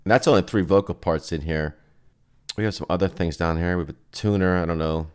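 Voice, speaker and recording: Low-voiced male, loose and rambling, thinking out loud through a software walkthrough. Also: steady tone, fairly quick, smooth. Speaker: plugin reviewer and host. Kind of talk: casual software demo commentary.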